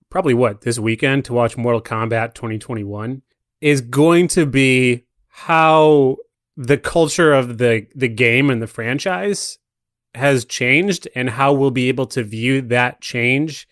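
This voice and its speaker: A man with a mid-pitched voice gives casual, conversational commentary on movies for a podcast. The sound is dry, with very little room echo around his words.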